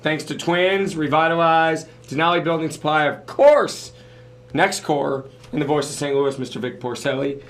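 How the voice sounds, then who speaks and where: A man hosting a podcast in a casual, conversational way, his voice hoarse as if he is losing it. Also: a little high, normal speed, a bit bright. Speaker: podcast host. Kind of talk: casual talk, sponsor thanks.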